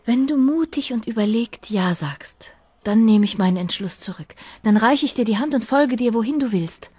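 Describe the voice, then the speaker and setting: A woman's voice: a little high, lively intonation, moderate pace, dark tone, husky, no room sound. An actress in a radio play, playing an earnest, disillusioned young woman in love, intimate and searching in manner. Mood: grave, resolute. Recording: close dry studio with faint music.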